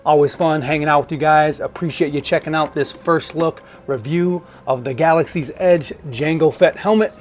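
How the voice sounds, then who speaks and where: Higher-pitched man, casual reviewer. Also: steady tone, normal speed, hardly any pauses, faint music behind. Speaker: YouTube collectibles reviewer.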